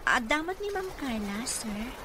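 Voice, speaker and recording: A woman's voice: fairly high pitch, sing-song, moderate pace. A woman playing a timid, dutiful young office employee, hesitant and deferential, with an Ilocano accent in radio drama style. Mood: hesitant, polite. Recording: noisy radio drama recording.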